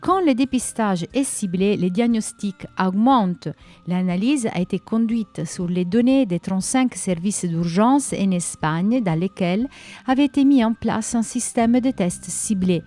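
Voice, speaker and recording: A woman's voice: mid-range voice, expressive, unhurried, dark tone. A woman hosting a radio segment, reading listener personal ads and short news in a friendly, conversational way with frequent hesitations. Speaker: radio show host.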